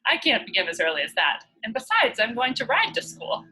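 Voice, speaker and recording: A woman's voice: a little high, wildly swooping pitch, a little fast, bright, forceful tone, husky, full character voice, rough recording. A woman voicing a cheeky, stubborn young girl in a storybook, speaking emphatically and with self-importance. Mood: decided.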